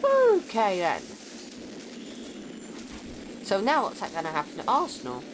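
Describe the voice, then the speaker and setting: A woman's voice: mid-range voice, huge pitch swings, measured pace, background noise. A woman casually co-commentating on video game cutscenes in an informal, blunt way. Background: game soundtrack music.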